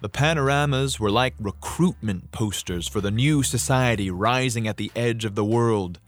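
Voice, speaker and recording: A man, an actor, giving a measured, expressive dramatic reading of a history book. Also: medium pitch, soft background music. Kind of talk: dramatic audiobook-style reading.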